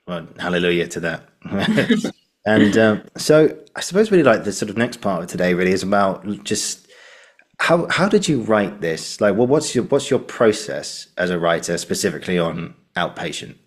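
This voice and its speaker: A man's voice: low-pitched, very animated, moderate pace. A man hosting a casual interview, informal and encouraging, often repeating himself for emphasis. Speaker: podcast host.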